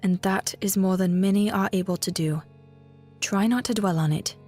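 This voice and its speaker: Actress as kindly female bard, medium pitch, gentle, reassuring, practical, audio drama, faint music behind. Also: moderate pace, natural voice. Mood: consoling, sad. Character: kindly female travelling bard, soft-hearted, practical, consoling.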